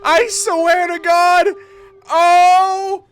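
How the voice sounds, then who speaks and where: A woman's voice: very high-pitched, little pitch movement, very slow. A woman game streamer giving live reaction commentary in a casual, sweary, slangy and hyperbolic manner. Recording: game music behind the voice.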